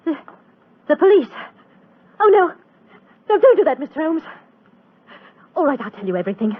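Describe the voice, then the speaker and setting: An actress in a 1940s radio drama playing a frightened, defiant young magician's assistant, curt and emotional. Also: female voice, sits high, sing-song, normal speed, phone-quality sound. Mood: frightened, pleading. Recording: thin, band-limited old radio recording.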